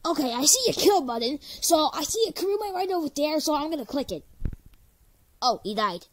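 A woman performing amateur cartoon voice lines in a theatrical, drawn-out way. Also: high voice, big pitch swings. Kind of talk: exaggerated amateur animation character voice lines.

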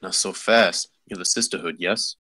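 A man's voice: deep voice, moderate pace, bright, forceful tone. A man in a script table read playing a cocky street thug leader, condescending and threatening. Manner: condescending, taunting.

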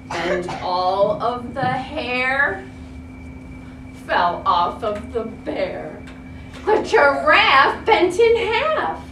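Older female storyteller: theatrical, high-pitched, very unhurried, classroom read-aloud from a distance. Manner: theatrical, emphatic. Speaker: retired reading teacher. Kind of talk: expressive children's read-aloud. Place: school classroom. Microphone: distant camera. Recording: roomy, noisy classroom, distant mic.

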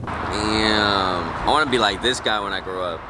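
A young man chatting casually to his vlog viewers in excitable, slangy speech with casual swearing. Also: fairly low pitch, measured pace. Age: young adult. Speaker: car vlogger. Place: outdoor parking lots and inside a car. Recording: very noisy on-location camera audio.

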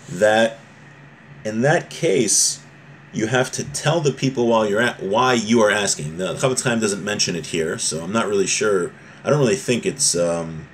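A man's voice: fairly low pitch, a little hiss or hum. A man in his thirties with an American accent, giving an informal religious lesson in an explanatory, conversational manner. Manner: explanatory, conversational, switching between recitation and plain paraphrase. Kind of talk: informal lesson, reading Hebrew text aloud and explaining it. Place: small room at home, indoors.

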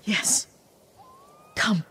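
A woman's voice: extremely slow, sharp tone. A woman playing an elderly, devoted servant, caring and cautious, in a video game cutscene. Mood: grave. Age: older. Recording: music behind the voice. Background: cinematic game music.

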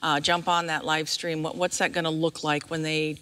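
A woman's voice: deep voice, level tone, normal speed, a bit bright. A middle-aged woman in her fifties hosting a promotional community interview on a theatre stage. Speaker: interviewer, host. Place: large dark theatre stage, indoors. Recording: echoey hall reverb.

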